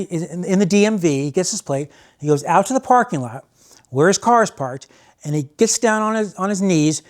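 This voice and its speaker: An American man hosting and telling stories casually, in an animated way with phrases repeated for emphasis. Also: a little high. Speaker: host and storyteller. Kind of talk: casual spoken storytelling.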